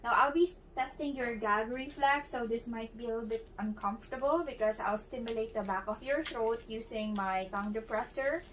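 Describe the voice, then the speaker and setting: A woman's voice: fairly high pitch, a little fast, hardly any pauses, fairly bright tone. A young woman demonstrating a clinical examination, explaining each step as she instructs the patient, with frequent fillers and restarts. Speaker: student demonstrating a clinical exam. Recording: distant mic with faint background noise.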